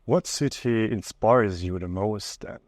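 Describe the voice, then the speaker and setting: A man's voice: lowish voice, unhurried, darkish tone, no echo, studio quality. A man working as an interviewer, asking questions in a conversational style.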